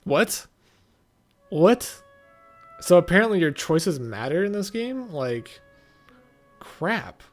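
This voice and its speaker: A man's voice: high-pitched, sing-song, slow pace, pauses often, faint music behind. A man giving casual, offhand and mildly sardonic commentary as host of a video game playthrough.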